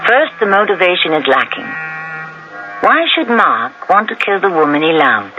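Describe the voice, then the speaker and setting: A man hosting a 1970s radio mystery, giving grave, measured, dramatic scripted narration. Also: sits high, big pitch swings. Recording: dull old radio recording. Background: loud suspense music.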